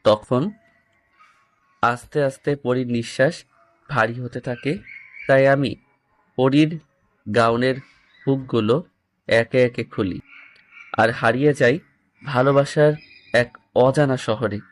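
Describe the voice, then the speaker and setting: A man's voice: mid-range voice, slow pace, pauses often. A young man reading a scripted first-person love story and acting out the lead's dialogue. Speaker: story narrator voicing the male lead.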